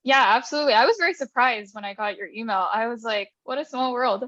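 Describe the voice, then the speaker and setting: A woman's voice: a little high, fast talker, fairly bright tone, no room sound, muffled recording. A Japanese woman in her twenties whose first language is Japanese, speaking English with an American accent in a friendly, casual conversation and laughing easily. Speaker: guest, grad student.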